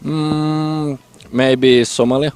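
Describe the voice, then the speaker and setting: A man's voice: fairly high pitch, fairly even intonation, drawn-out words, darkish tone, smooth, no room sound, faint music behind. A man answering a street interview outdoors in brief, tentative replies. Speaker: passerby interviewed.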